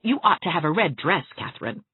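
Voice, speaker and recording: Woman doing a high, bright, bubbly young woman; husky edge, thin phone-like sound. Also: quick pace, dry recording, full character voice. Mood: coaxing. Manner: bright, lively, persuasive. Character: cheerful, eager young woman, warm and coaxing.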